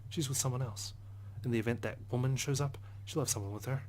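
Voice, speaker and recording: A man reading visual novel narration aloud as a let's play host, subdued, with hushed asides. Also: mid-range voice, fairly even intonation, fast talker, a little hiss or hum. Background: soft guitar music.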